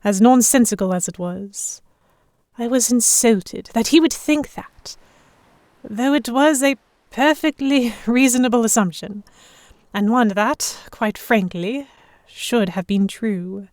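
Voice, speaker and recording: A woman's voice: a little high, slow, a little mellow, dry recording. A woman playing an earnest, emotive noblewoman heroine who narrates an audio drama in the first person. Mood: conflicted. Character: delicate young noblewoman, vexed, earnest, stubborn romantic heroine.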